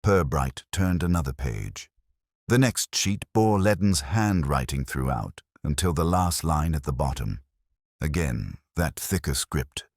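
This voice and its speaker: Narrator for detective fiction: male, extremely low voice, lively intonation, measured literary delivery. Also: dry recording, studio quality. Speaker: narrator, storyteller. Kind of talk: scripted dramatic narration of a detective story.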